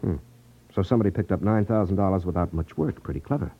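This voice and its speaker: An actor playing a cool, sardonic private detective with a wry manner in a 1950s radio drama. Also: male voice, deep voice, lively intonation, fast, vocal fry, full character voice. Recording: dull 1950s radio recording.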